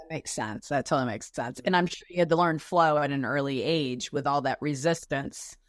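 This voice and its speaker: A woman with a very low-pitched voice hosts a wellness interview show. She speaks effusively and gushes over her guest, often restarting her sentences. The recording is dry and close. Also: moderate pace, no room sound. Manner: effusive, gushing praise with restarts. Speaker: podcast host. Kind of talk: warm interview chat.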